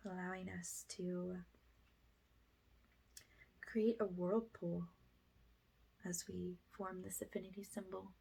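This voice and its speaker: Hushed, even-toned woman whispering ASMR. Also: fairly low pitch, slow, gravelly, long pauses, slight background noise, rough recording. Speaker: ASMR energy healer. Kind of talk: ASMR spiritual guided cleansing.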